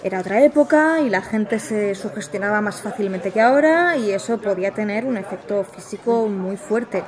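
A woman's voice: medium pitch, expressive, moderate pace, natural voice. An actress playing a reticent former researcher and young mother with an accent from Spain, speaking hesitantly and sincerely in a naturalistic audio drama interview. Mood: firm, dismissive. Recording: busy café chatter behind. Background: café crowd.

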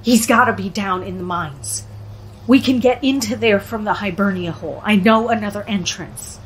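A woman playing a self-assured, theatrical teenage séance leader with a husky, solemn delivery in an audio drama. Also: medium pitch, moderate pace, a little hiss or hum, lightly acted, poor audio. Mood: determined.

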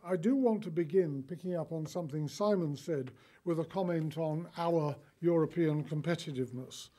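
A man's voice: fairly high pitch, normal speed, mellow. An older man giving a formal prepared address from a lectern, reading from his notes. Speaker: keynote speaker. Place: conference hall, lectern. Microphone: lectern mic.

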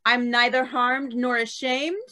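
Woman player in a story game, bright voice a bit high, chatting on a call. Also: steady tone, measured pace. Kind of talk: casual improvised storytelling and game talk. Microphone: group video call.

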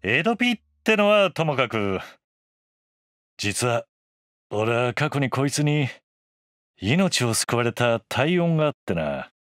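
Middle-aged anime shogun boss: wry, easygoing authority, mid-pitched with lively intonation. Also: male voice, slow, long pauses, dry recording. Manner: casual, commanding, wry. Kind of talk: anime voice drama.

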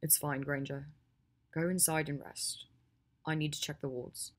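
A woman voicing a reserved, weary, quietly caring man in an audiobook, with a clipped, calm manner. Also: deep voice, measured pace. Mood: resigned, calm. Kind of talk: audiobook character acting.

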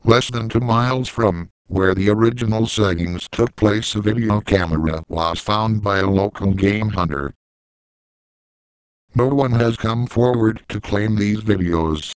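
A man's voice: lowish voice, unhurried, clear, resonant tone, no room sound, poor audio. A man reading scripted narration in the manner of a horror documentary.

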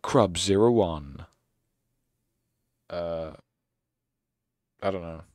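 Young guy streamer, really deep voice, rambly sarcastic jokes, dead dry mic. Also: extremely slow, darkish tone, dry recording. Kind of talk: casual end-of-stream chat.

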